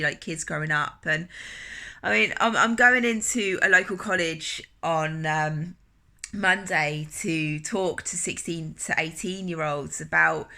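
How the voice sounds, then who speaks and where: A woman, a wellness coach talking earnestly in a personal vlog, a bit nasal from a cold, halting with fillers and restarts. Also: sits low, bright, forceful tone.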